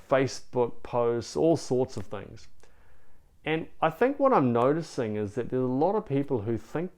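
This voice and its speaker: A man's voice: mid-range voice, a little mellow, clean tone. A man with a New Zealand accent, talking straight to camera as a relationship coach in an earnest, encouraging way, often saying "you know". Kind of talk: direct-to-camera coaching talk.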